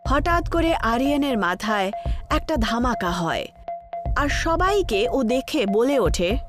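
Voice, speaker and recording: A woman's voice: a little high, very animated, slow pace, music in the background. A woman narrator delivering a scripted horror story.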